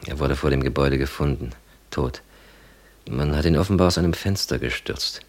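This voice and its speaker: An actor playing a stern, authoritative police captain in a 1960s German radio drama, with clipped official delivery. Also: male voice, a bass voice, brisk. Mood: grave, urgent. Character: stern male police captain handing out orders.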